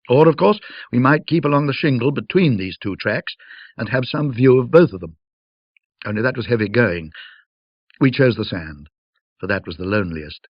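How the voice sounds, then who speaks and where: A man narrating a classic ghost story in a measured, atmospheric, old-fashioned way, with conversational asides. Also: mid-range voice, dry recording, lo-fi sound. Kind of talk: dramatic scripted storytelling, in an old-fashioned classic audiobook manner.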